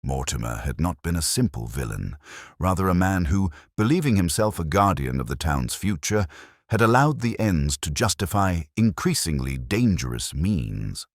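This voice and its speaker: Really low male voice reading a crime story, dry close sound, pitch moves around a lot. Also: big pitch swings, moderate pace, dry recording. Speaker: narrator. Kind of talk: scripted audiobook-style detective story narration.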